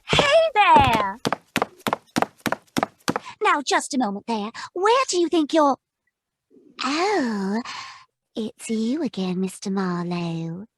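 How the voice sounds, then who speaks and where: A woman's voice: on the high side, sing-song, slow, long pauses, slight background noise. An actress playing a flustered, officious female hotel clerk, fussy and hesitant, in old radio drama style. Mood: fussy, indignant. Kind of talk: 1940s radio detective drama acting.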